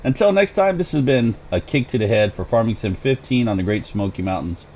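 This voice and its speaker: Hoarse man chatting over gameplay. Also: mid-range voice, lively intonation, a little fast, a little mellow, a little hiss or hum, poor audio. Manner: chatty, thinks aloud while playing. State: hoarse, clearing throat. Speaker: gaming channel host. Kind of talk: casual gameplay commentary.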